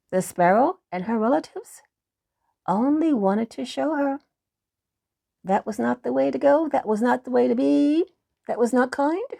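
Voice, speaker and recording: A woman tells a children's fairy tale at a very unhurried pace. Her pitch is on the high side and swings widely, with dramatic emphasis and dips into a hush. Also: gravelly. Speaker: children's storyteller. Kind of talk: expressive fairy tale narration.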